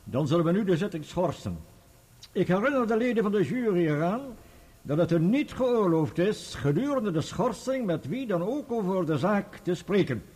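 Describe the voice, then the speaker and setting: An authoritative judge in a courtroom radio drama. The voice is high for a man, husky and very inflected, with formal phrasing. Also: big pitch swings, normal speed, a little mellow, heavily acted. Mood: formal, dry. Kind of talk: radio play courtroom acting.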